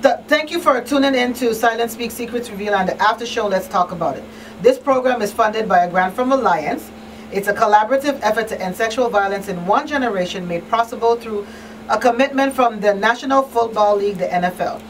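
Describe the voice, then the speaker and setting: A woman's voice: fairly high pitch, brisk. Distant-miked woman hosting. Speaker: talk show host. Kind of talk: talk show hosting, conversational. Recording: distant mic, faint background noise.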